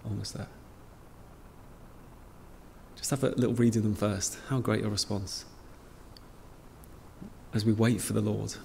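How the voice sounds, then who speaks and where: A man's voice: fairly low pitch, little pitch movement, a little slow, creaky, long pauses, darkish tone. Male church leader, 30s, easygoing delivery, reverberant hall with faint hiss. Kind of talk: leading prayers and dismissal. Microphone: stand mic.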